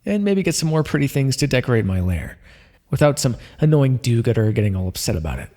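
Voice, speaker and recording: A man playing a smug, playful supervillain mind controller in an ASMR roleplay, coaxing the listener with theatrical, drawn-out words. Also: medium pitch, big pitch swings, dark tone, lightly acted. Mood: scheming, gleeful. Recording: long reverberant echo.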